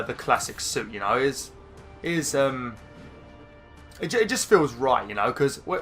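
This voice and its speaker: A man hosting a let's-play, slightly hoarse and chatty as he rambles through game menus. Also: mid-range voice, bright, forceful tone. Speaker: gaming channel host. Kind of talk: casual let's-play commentary.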